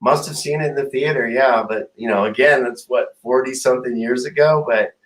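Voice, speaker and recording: A man's voice: medium pitch, fairly even intonation, fairly quick, rough recording. Effusive middle-aged male talk host. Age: 50s. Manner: warm, effusive, rambling. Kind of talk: casual interview chat.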